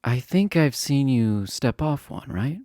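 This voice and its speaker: Casting: male actor as popular college guy, chatty and teasing, easygoing flirt, unhurried delivery with lively pitch swings, romantic audio roleplay. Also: dry recording, clean studio sound, natural voice. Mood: coaxing, flirty. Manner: chatty, teasing, hesitant filler-laden casual phrasing. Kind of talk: romantic roleplay audio acting, casual intimate chat.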